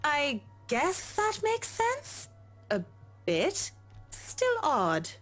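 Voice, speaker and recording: A woman's voice: high voice, wildly swooping pitch, extremely slow, fairly bright tone, faint music behind, heavily acted. An actress playing a wry, jumpy young female architect in a visual novel game. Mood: casual.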